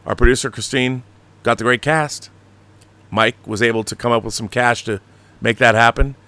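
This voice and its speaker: A man hosting a casual livestream, explaining things in a rambling, animated, slangy way, like a director talking shop. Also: mid-range voice, normal speed, clear, resonant tone. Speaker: show host and audio drama director.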